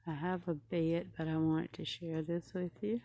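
Low, creaky-voiced woman vlogging. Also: level tone, moderate pace, mellow, slight background noise. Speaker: shopping vlogger. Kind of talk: casual running commentary while browsing. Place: large indoor furniture showroom.

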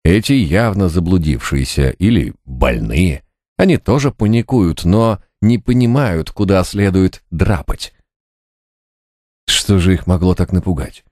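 Male audiobook reader for fantasy fiction: low voice, widely varied intonation, expressive storyteller. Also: unhurried, no echo, studio quality. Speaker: audiobook narrator. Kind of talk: scripted narration of a fantasy novel.

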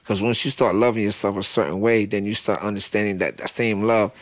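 A man's voice: lowish voice, steady tone, brisk, no echo. A man from Haiti, a guest in a small podcast studio, talking on a studio mic in a passionate, profane, preachy way. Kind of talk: casual interview talk. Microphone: studio mic on boom.

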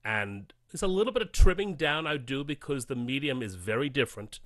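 A man talking as a guest in a casual interview, in a measured, deliberate way. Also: medium pitch, lively intonation, a bit bright, no echo. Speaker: audio drama writer, podcast guest.

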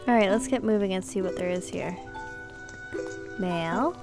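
A woman commentates on a video game in a casual, chatty way. Her medium-pitched voice has a noticeable creak, and she thinks out loud as she goes. Music plays behind her. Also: measured pace.

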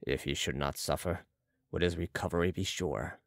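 A man voicing a serene, commanding mesmerist with calm mystical authority in an audiobook. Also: low voice, normal speed, no echo, clean studio sound, voice pushed down. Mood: calm resolve. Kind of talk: audiobook character voice.

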